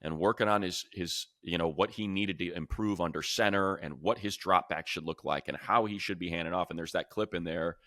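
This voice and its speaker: A man hosts a sports talk show in a very low voice with a bright, forceful edge. The sound is dry and close. He speaks conversationally, slipping into other people's words as he makes his points. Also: brisk, few pauses, no room sound. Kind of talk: casual sports talk and commentary.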